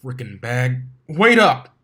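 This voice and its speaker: A man's voice: medium pitch, very animated, slow, sharp tone, slightly rough, full character voice. Man as a cocky, grumbling pegasus stallion. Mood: exasperated. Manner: casual, sarcastic.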